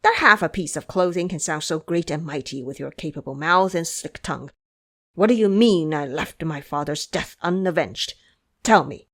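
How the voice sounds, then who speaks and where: A woman's voice: low-pitched, normal speed, voice pushed down. A woman playing a haughty, hot-tempered young crown prince in an audio drama, speaking in an imperious, formal way. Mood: enraged.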